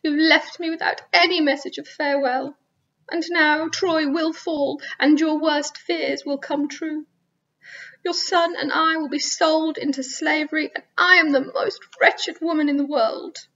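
A woman's voice: high voice, fairly even intonation, measured pace, a bit bright, recorded from a distance, lo-fi sound, voice pushed up. A woman doing a sobbing young widow. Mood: despairing. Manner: sobbing, despairing. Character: sobbing young widow mourning her husband.

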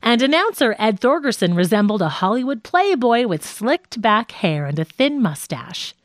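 A woman narrating a scripted nonfiction audiobook in a measured, articulate way. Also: on the high side, sing-song, dry recording, studio quality.

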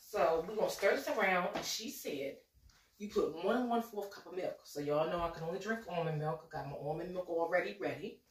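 A woman in her forties chats her way through a home cooking vlog. Her voice is on the low side and a little nasal from a cold. She is loose and folksy, and her voice carries a roomy kitchen echo from a camera set a few steps away, with a faint hiss underneath. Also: a little fast, distant mic. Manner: chatty, folksy, talks to viewers as y'all. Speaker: cooking vlogger.

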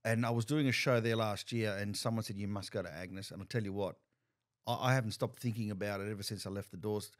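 A man's voice: lowish voice, fairly even intonation, fast talker. A middle-aged man hosting a casual podcast interview, often restarting and repeating words as he thinks. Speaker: podcast host. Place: bar-like studio room, indoors. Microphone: desk mic on a stand.

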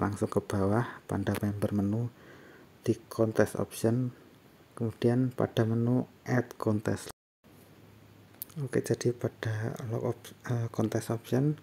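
A man presenting an unscripted step-by-step software tutorial in a halting, instructional manner, often adding little confirming tags. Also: lowish voice, creaky. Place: voice-over on a screen recording. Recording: faint background noise.

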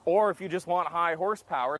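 A man's voice: on the high side, quick pace, a bit bright, dry recording. A man in his thirties, a trucker, answering interview questions in an easygoing way outdoors. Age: 30s. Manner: easygoing, laughs a little. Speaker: trucker and inventor.

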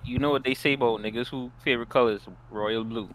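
A man's voice: medium pitch, fairly even intonation, quick pace, background noise. A young man hosting a casual speed-date game on a video call, teasing and repeating his fillers. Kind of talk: casual chat on a group call.

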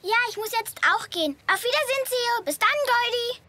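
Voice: very high voice, animated, a little fast. A performer voicing a playful, eager little girl in a German-dubbed children's cartoon, with a childlike manner. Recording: very loud music behind.

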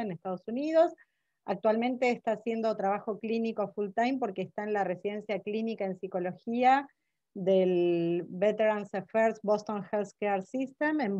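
A woman's voice: mid-range voice, dark tone, no echo. A woman moderating a symposium, formally introducing the next speaker over a video call. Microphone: laptop microphone on video call. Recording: dry, muffled video call audio.

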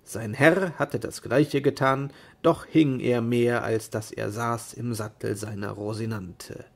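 A man reading a storybook tale as narrator, in an expressive, deliberate manner. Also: medium pitch, creaky.

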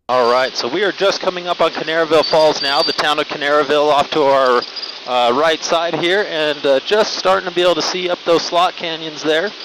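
A man working as a helicopter flight instructor, explaining casually and with enthusiasm as he flies, reassuring in manner, speaking into a headset mic. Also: on the high side. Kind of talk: casual explanatory narration while flying. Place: small helicopter cockpit in flight. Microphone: aviation helmet headset mic. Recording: loud helicopter cabin noise, headset audio. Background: helicopter engine and rotor noise.

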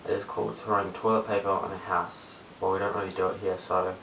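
A young man with a New Zealand accent chats casually in a low-pitched, hesitant mumble. His voice is low-key and stays very even. It is picked up by a distant webcam microphone with some hiss. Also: fast talker, vocal fry, echoey room. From Christchurch, New Zealand. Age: young adult, late teens. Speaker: amateur video blogger. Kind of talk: casual chat to camera. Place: small bare room indoors. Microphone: webcam at a short distance.

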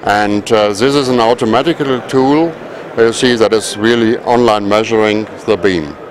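A man presents products at a trade show in a halting, explanatory manner. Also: on the low side. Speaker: company representative presenting products. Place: large indoor trade fair hall. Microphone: handheld microphone at chest height. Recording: busy exhibition hall crowd noise. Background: crowd murmur.

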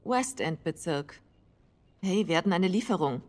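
An actress playing a tough, wry smuggler with a dry, casual delivery in a video game. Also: female voice, lowish voice, lively intonation, normal speed, darkish tone, lightly acted. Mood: wry, cool. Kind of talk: video game dramatic acting, German dub.

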